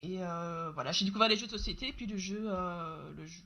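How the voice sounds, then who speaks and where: A woman in her late twenties with a light Belgian French accent, chatting as an interview guest over a video call and pausing often as she thinks. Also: on the low side. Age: late 20s. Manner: relaxed, hesitant with many pauses. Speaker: interview guest, YouTube game master. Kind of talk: casual interview chat.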